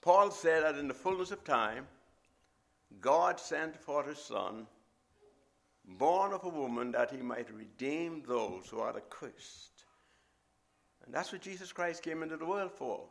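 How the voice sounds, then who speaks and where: A middle-aged man with a Bahamian accent, preaching a sermon as a visiting preacher in a measured, emphatic way. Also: fairly high pitch, expressive, gravelly.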